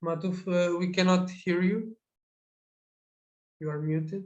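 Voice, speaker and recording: A man's voice: high-pitched, steady tone, slow, darkish tone, lo-fi sound. A man moderating an online event, polite and somewhat hesitant. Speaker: webinar moderator.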